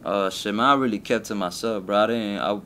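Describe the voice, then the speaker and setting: A young rapper with a Colombian accent talks in a low, easygoing voice. He stumbles and restarts his sentences as he answers casual questions. A faint rumble runs under the recording. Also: bright, forceful tone. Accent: Colombian-Spanish. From Colombia, raised in Miami. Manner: laid-back, halting, many restarts and fillers. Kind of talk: casual interview.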